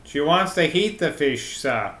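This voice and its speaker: A man's voice: fairly high pitch, lively intonation, a little slow, fairly bright tone, echoey room. Man doing a drawling old rustic fisherman. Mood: pleading. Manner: drawling, repeats "sir" constantly. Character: old male rustic fisherman, stubborn and fussy.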